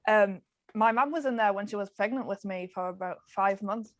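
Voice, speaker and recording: A woman's voice: mid-range voice, normal speed, no room sound. A woman casually sharing a personal anecdote as a podcast panellist.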